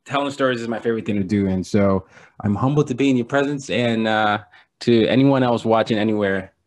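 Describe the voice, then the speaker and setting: A man's voice: lowish voice, a little fast. A man from Nigeria, a podcast guest telling stories in a playful, joking way and laughing often. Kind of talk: casual storytelling interview.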